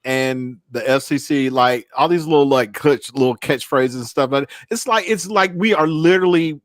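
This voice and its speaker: Male co-host, mid-pitched, dry sound, sardonic. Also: moderate pace, dry recording. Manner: wry, sarcastic. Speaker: guest co-host. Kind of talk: casual panel chat.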